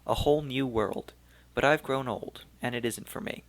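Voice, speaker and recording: A man voicing an elderly, weary archaeologist of Turco-German origin, speaking slowly and regretfully in a gravelly voice. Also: medium pitch, pitched above the performer's own voice, close to an everyday voice. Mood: resigned, fatherly. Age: older.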